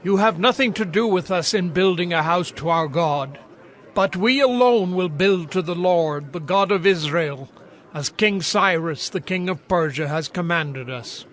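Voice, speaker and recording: Judean leaders turning down an offer with firm resolve. His voice sits high. The delivery is an audio drama performance. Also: measured pace, fairly bright tone.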